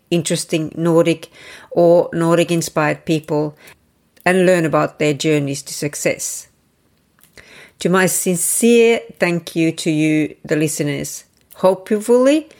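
A Finnish woman hosts a podcast with a low voice. She speaks in a measured, formal manner. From eastern Finland. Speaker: podcast host. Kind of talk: scripted intro then interview.

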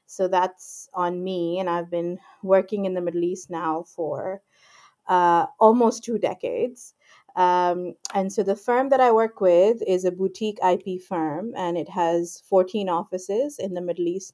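Calm low-ish woman in her 40s explaining things slowly, um-heavy, interview guest. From Pakistan. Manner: measured, explanatory, many ums and you-knows. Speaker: guest, IP lawyer. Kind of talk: casual interview talk.

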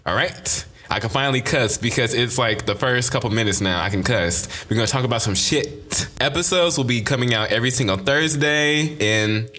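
Sassy young man with a lisp, bright voice. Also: medium pitch, normal speed, few pauses, a little hiss or hum. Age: young adult. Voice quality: slight lisp. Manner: sassy, emphatic, repeats catchphrases. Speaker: podcast host. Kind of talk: casual solo podcast intro.

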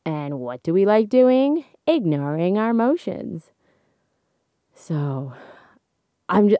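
A young woman hosting a solo podcast, chatting casually into a microphone. She laughs often, and her voice is slightly hoarse. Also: dry recording. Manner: chatty, laughs often, animated swings in pitch. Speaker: podcast host. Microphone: studio microphone.

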